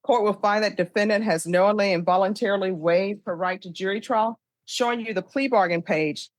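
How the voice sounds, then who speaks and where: An American woman presides as a judge with a medium-pitched voice. She runs through formal courtroom questions briskly and by rote, sounding firmly in charge. The sound is dry. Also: no room sound. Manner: brisk, authoritative, recites by rote. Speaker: judge presiding over a plea hearing.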